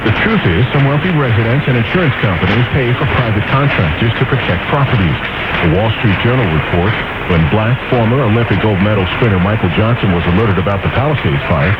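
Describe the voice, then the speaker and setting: Low-voiced man newsreader on noisy radio. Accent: American. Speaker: radio news anchor. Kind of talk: scripted news reading. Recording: narrow tinny AM radio, loud static noise.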